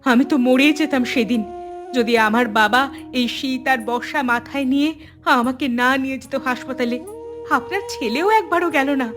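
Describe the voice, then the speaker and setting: A woman's voice: high voice, normal speed, natural voice. A woman voicing a wronged, bitter young mother and daughter-in-law in a dramatic cartoon, accusing and indignant. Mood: angry, accusing. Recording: music behind the voice.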